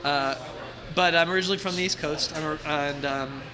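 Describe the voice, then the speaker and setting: Man, 50s, from New Jersey, interview guest, slightly high voice, brash, rambling, self-deprecating. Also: fairly even intonation, crowd noise, rough recording. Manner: self-described loud and brash, halting with many restarts, self-deprecating humor. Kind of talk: casual interview conversation.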